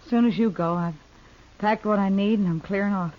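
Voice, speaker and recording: A woman's voice: medium pitch, a little fast, slight background noise, natural voice. An actress playing a tough, self-reliant frontier ranch woman in a radio western, terse and plain-spoken. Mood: hurt, resolute. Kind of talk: 1950s radio western acting. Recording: dull old radio recording.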